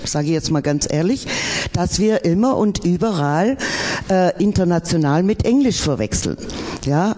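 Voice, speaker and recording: A man with a slightly raised pitch talks through an academic panel discussion. He pauses often with fillers and restarts, earnest about his point. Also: dark tone. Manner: hesitant, many fillers, earnest.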